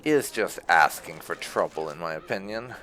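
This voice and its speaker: A man's voice: sits low, lively intonation, normal speed, fairly bright tone, a little hiss or hum. A man giving casual playthrough commentary, rambling a little as he thinks aloud. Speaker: gaming video host.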